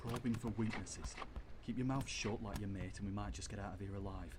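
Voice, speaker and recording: Man playing a gruff army sergeant over battlefield noise. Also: on the low side, fairly quick, close to an everyday voice. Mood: tense, commanding. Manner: commanding, terse. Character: gruff, steady army sergeant in WW1 trenches. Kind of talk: audio drama acting. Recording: noisy battlefield sound effects behind.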